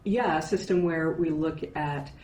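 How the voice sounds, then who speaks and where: A woman's voice: sits low, a little slow, darkish tone. A woman guest, a voice and dialect coach, explaining her ideas in a thoughtful, casual way and often checking in with "right?". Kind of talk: casual conversational interview. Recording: roomy echo with faint ambience.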